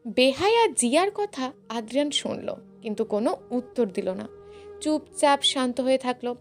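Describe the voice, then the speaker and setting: A woman's voice: high-pitched, expressive, normal speed. A woman reading scripted fiction as a dramatic storyteller. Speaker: story narrator. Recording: faint music behind the voice. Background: faint music.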